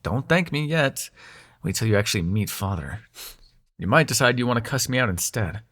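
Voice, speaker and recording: A man playing a snarky but secretly soft-hearted young demon prince in an intimate audio roleplay, teasing the listener and turning hesitant when showing affection. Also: mid-range voice, lively intonation, moderate pace. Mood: awkward, flustered.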